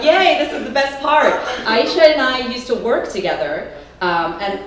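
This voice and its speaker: A woman's voice: medium pitch, slight background noise. Moroccan-born woman in an echoey hall. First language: Arabic or French. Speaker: panel member, school teacher. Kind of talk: introductory talk to an audience. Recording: echoey room, distant mic.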